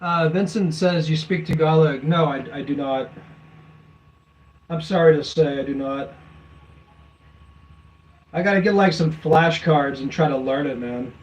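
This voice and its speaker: A man's voice: fairly high pitch, measured pace, pauses often, recorded from a distance, highs cut off, a little hiss or hum. A young American man hosting a casual livestream, reading viewer messages aloud and answering them in a rambling way full of fillers. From USA. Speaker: livestream host reading chat. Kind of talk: casual live chat.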